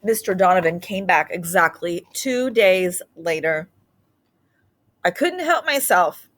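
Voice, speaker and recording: A woman's voice: lowish voice, expressive, slow, fairly bright tone, a little hiss or hum. A woman reading a children's story aloud as a storybook narrator. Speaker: storybook reader.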